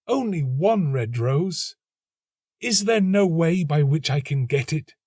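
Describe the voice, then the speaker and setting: Man voicing a tender, high-pitched storybook nightingale. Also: animated, slow pace, a little mellow, slightly rough, no echo, studio quality, exaggerated voice. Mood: earnest pleading. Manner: earnest, lyrical, emphatic. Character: small selfless female nightingale, tender, passionate, pleading. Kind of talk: storybook character acting.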